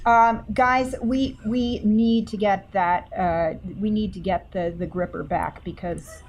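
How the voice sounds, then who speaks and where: A woman's voice: medium pitch, fairly even intonation, slow pace, darkish tone, slight background noise. A woman playing a character in a tabletop game, speaking earnestly with some hesitant fillers. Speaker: player.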